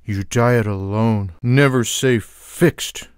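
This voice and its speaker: A man voicing a lazy, sarcastic, food-loving cartoon cat in a video game, with wry, deadpan, drawling one-liners. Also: mid-range voice, slow pace, clear, resonant tone, no room sound, clean studio sound, lightly acted. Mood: smug, lazy.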